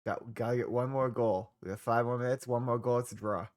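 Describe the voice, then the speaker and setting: A man's voice: mid-range voice, steady tone, fairly quick. A young man with a congested voice, casually narrating a football management game he is streaming, with laid-back, trailing asides. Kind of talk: casual gameplay commentary.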